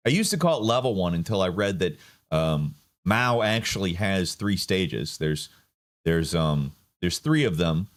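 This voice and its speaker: A man's voice: deep voice, expressive, measured pace. A man giving casual political commentary, sardonic and rambling. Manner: sardonic, rambling with fillers and restarts.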